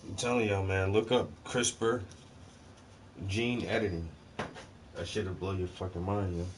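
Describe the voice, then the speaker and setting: Man, low voice, casual profane rant, slangy, faint background hum. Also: steady tone, unhurried. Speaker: host.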